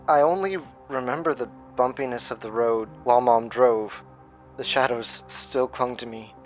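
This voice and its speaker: An actor playing a haunted, traumatised man, telling his story in a halting, grave horror monologue. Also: mid-range voice, lightly acted. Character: haunted, traumatised man recounting a childhood nightmare.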